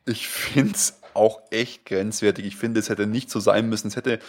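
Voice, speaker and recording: A young man from Munich with only a faint Bavarian accent, chatting eagerly as a podcast co-host. His voice sits on the lower side, and he restarts his sentences often, stacking up fillers as he gets carried away. His warm, lively chat keeps tumbling forward. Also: normal speed. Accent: light Bavarian German. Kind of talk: casual football fan podcast.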